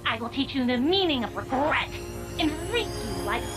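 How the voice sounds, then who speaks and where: Game villain: menacing, grandiose sadist, very high voice taunting theatrically over loud music. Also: female voice, sing-song, moderate pace. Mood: cold menace. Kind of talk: dramatic video game acting.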